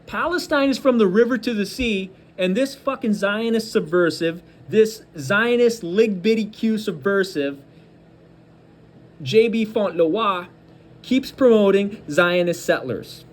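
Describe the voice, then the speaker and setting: A man's voice: high-pitched, unhurried. A man giving angry, combative political commentary, hurling insults and hammering points through repetition. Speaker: host of a political commentary show.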